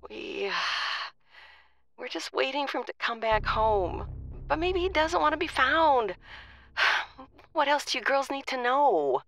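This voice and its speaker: A woman's voice: high-pitched, sing-song, slow pace, gravelly, pauses often, a bit bright, no room sound, full character voice. An actress playing a weary, worried middle-aged mother of a missing grown son, hesitant and sighing in an audio drama. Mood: worried, sad. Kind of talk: audio drama phone conversation.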